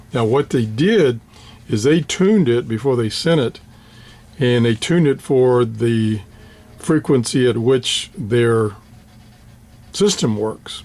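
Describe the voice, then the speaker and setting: A man's voice: medium pitch, darkish tone. Older man rambling about radio gear. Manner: rambling, hesitant, many fillers and restarts. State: slightly hoarse, clearing throat. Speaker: ham radio hobbyist vlogger. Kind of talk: casual show-and-tell.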